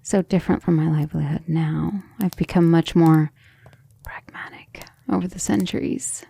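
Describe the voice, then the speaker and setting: Woman playing a breathy, creaky-voiced tender vampire lady. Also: low-pitched, fairly even intonation, moderate pace, dark tone, full character voice. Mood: wistful, confiding. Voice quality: breathy, often dropping into whisper. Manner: affectionate, refined, hesitant musing. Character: centuries-old vampire woman, tender, proud, devoted lover. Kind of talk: ASMR roleplay, intimate and slow.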